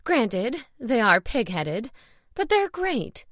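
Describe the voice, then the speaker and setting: A woman's voice: fairly high pitch, sing-song, takes it slowly, fairly bright tone, no room sound, studio quality, voice pushed up, heavily acted. A woman voicing an exasperated but affectionate older mother in an audiobook, grumbling fondly. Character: exasperated, fond older mother, gossiping friend. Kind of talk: audiobook character dialogue.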